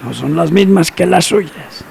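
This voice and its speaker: An actor playing a secretive, cynical older man in a radio drama, who talks slowly and knowingly and drops to near whispers. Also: fairly high pitch, expressive, gravelly, darkish tone, slight background noise, heavily acted. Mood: sly.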